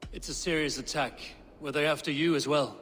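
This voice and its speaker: An actor playing a stoic Viking clan leader in a video game, speaking in a measured, solemn and formal manner. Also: male voice, fairly high pitch, steady tone, a bit bright, a little hiss or hum, lightly acted. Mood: grave, analytical. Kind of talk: video game cutscene acting.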